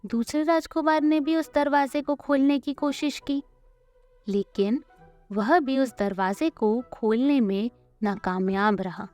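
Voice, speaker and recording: Woman, storyteller, fairly high pitch, scripted children's narration, faint background music. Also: takes it slowly, darkish tone.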